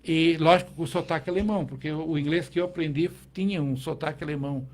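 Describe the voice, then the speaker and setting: A middle-aged Brazilian man, a teacher, recalling his past in a relaxed interview, with frequent restarts and tag questions. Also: on the high side, fast. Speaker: guest, teacher. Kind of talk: conversational interview.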